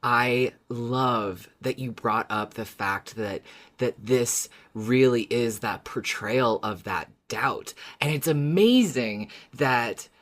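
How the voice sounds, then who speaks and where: Earnest, halting podcast host with a mid-range voice. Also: medium pitch, fairly bright tone. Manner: halting, searching for words, earnest. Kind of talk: casual podcast discussion.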